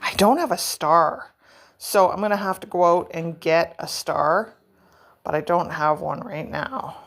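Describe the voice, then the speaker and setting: A woman's voice: fairly low pitch, a little slow, gravelly. Chatty middle-aged woman vlogging at home. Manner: chatty, rambling, self-deprecating. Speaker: vlog host. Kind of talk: casual chat while decorating.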